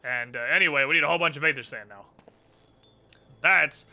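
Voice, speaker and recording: A man voicing a brash, pushy, sweary male airship engineer who blusters and demands loudly. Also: fairly high pitch, fairly quick, vocal fry, heavily acted. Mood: blustering.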